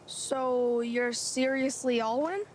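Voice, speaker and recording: A woman's voice: high voice, steady tone, slow pace, a little mellow, a little hiss or hum. A woman voicing an eager young child playing at fantasy adventure in a video game. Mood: pleased.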